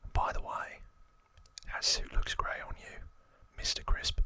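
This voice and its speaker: A man's voice: measured pace, pushed and bright, dry recording. A man voicing a boastful, threatening London gangster twin in a put-on Cockney accent, whispering his slangy lines from a game manual. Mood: bossy. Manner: threatening, slangy, self-important.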